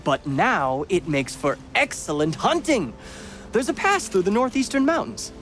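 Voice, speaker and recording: An actor playing a pampered, excitable teenage noble boy who longs to hunt, in a video game cutscene. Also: unhurried, faint music behind, heavily acted. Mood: boastful. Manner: posh, excitable, lively pitch swings.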